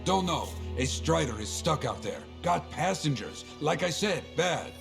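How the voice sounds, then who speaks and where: A man's voice: on the high side, sing-song, measured pace, creaky, heavily acted. An actor playing a terse, urgent male mission contact in a video game, speaking in clipped short phrases. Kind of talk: video game cutscene acting. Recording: music behind the voice.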